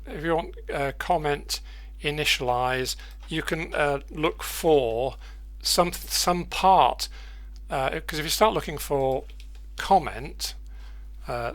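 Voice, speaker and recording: A man presenting an unscripted software tutorial, thinking aloud haltingly and repeating words as he goes. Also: medium pitch. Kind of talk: unscripted screen-share tutorial.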